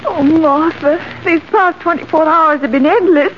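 An actress playing a timid, fretful elderly spinster in an old radio drama, anxious, fluttery and pleading. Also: female voice, high voice, moderate pace, close to an everyday voice. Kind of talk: 1940s radio acting. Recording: muffled old radio recording, clear noise.

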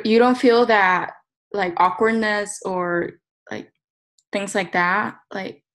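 A teenage girl from Korea as a guest in a casual podcast chat, hesitant and full of "like" fillers. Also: lowish voice. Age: teens.

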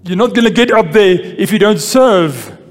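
A man's voice: sits high, expressive, normal speed. A man pastor preaching a sermon and reading scripture, calling out "Amen?" to the congregation and repeating key phrases. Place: church hall. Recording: long church-hall reverb with faint music.

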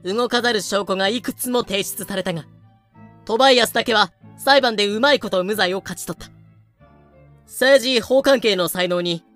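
A woman's voice: mid-range voice, moderate pace, a bit bright, soft background music, lightly acted. A woman playing an aggrieved, earnest colonial official in a fan-made audio drama. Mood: earnest, explaining.

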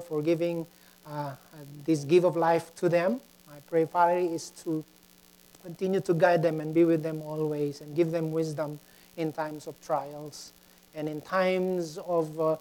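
A woman with a Filipino accent preaching in a halting way, often repeating words, moving between prayer and anecdote. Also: low voice, mellow, poor audio. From Philippines.

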